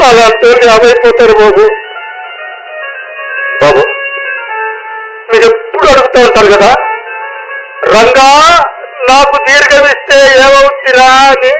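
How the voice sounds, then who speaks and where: An actor playing a loyal, grieving household servant in a radio drama, with an emotional, pleading, outspoken delivery that repeats phrases. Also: male voice, extremely high pitch, unhurried, long pauses, a bit bright, low-quality recording. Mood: grieving plea. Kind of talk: Telugu radio drama acting. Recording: radio drama with music behind.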